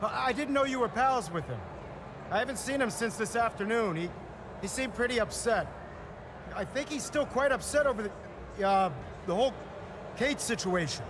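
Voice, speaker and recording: A man playing a suave, encouraging art teacher in a video game, polished but with small hesitant pauses. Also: extremely high pitch, animated. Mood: casual, probing. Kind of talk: video game cutscene dialogue and stage announcement. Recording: echoey hall, crowd and noise behind.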